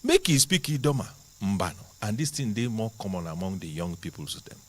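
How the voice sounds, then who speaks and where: Brief: Nigerian male TV host, lowish voice, very lively pitch, chatty studio presenter. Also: a little fast. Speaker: talk show host. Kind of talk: studio talk show hosting.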